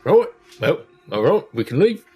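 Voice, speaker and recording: A man's voice: a little high, sing-song, moderate pace, warm tone, husky, soft background music. A man playing a tabletop game, joking and getting flustered as the talk goes sideways. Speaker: player. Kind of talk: casual tabletop roleplay.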